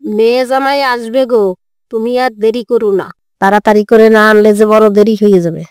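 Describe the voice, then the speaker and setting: A woman's voice: on the high side, fairly even intonation, brisk, a little mellow, smooth, no room sound, natural voice. A woman voicing an older village mother-in-law in a comedy cartoon, doting yet nagging, with music behind. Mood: coaxing. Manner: nagging, coaxing.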